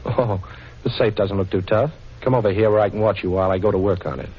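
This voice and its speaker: A man's voice: on the low side, quick pace, breathy edge. A man acting a cool, wisecracking amateur sleuth in a 1940s radio drama, breezy, teasing and commanding, with an American accent. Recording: muffled 1940s radio broadcast recording.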